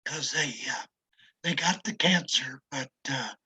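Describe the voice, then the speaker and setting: A retired older man talks in a raspy, strained voice that often drops to a whisper. He speaks slowly and reflectively, with a self-deprecating manner, and the tone sits bright and tight despite the damage. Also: medium pitch. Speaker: interview guest. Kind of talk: casual conversation.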